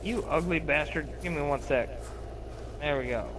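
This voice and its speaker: A man with a lisp chatting excitedly while gaming, cursing and yelping at what happens on screen. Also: on the high side, moderate pace, background noise. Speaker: gamer. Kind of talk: excited gameplay chat.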